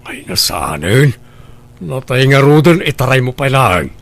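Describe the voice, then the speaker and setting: A man's voice: medium pitch, sing-song, unhurried, gravelly, fairly bright tone, full character voice. A man acting a scheming, grasping husband in an Ilocano radio drama, goading and argumentative. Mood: callous.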